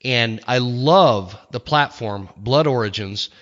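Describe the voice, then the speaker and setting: Bright-voiced American man hosting a podcast. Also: medium pitch, slow, lo-fi sound. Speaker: podcast host. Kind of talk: casual conversational podcast hosting. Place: podcast studio.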